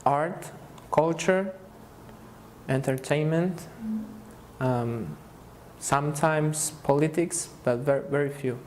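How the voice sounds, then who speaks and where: A man in his twenties from Argentina gives short interview answers. He pauses and restarts often as he searches for words. A faint hiss runs underneath. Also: fairly high pitch. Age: 20s. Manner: hesitant, halting with restarts. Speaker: survey respondent. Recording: faint background noise.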